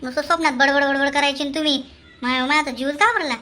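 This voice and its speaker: High voice, woman acting chatty teasing village neighbour, Khandeshi Marathi accent, exclamatory, comic cartoon. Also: steady tone, fast talker, bright, forceful tone. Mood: teasing, curious. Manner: exclamatory, gossipy, rural colloquial.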